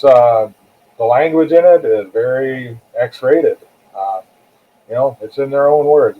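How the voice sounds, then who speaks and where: A man's voice: medium pitch, breathy edge. A man speaking as an interview guest and author, conversational, plain-spoken and earnest. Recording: muffled, phone-like narrow sound.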